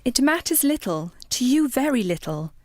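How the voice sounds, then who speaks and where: A sorrowful young woman, played by an actress in radio drama. She speaks with grave resignation and gentle reproach in a fairly high voice, recorded dry. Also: sing-song, moderate pace, dry recording. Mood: sad resignation. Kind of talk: radio play acting.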